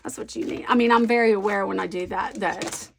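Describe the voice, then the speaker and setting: A woman with a toned-down Southern accent chats her way through a scrapbooking session, wandering between thoughts and teasing herself as she works. Her mid-range voice carries a noticeable gravelly fry at the ends of phrases. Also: mid-range voice, fairly even intonation, fairly quick, slight background noise. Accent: light Southern American. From American South. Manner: rambling, self-amused, talks to herself. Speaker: scrapbooking vlogger. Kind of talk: chatty crafting commentary while working.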